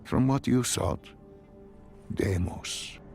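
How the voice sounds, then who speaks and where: A man playing a calm, enigmatic doctor with dark secrets in a video game, speaking in a measured, cryptic, probing way. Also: mid-range voice, creaky, faint music behind. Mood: calm, guarded. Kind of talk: dramatic video game voice acting.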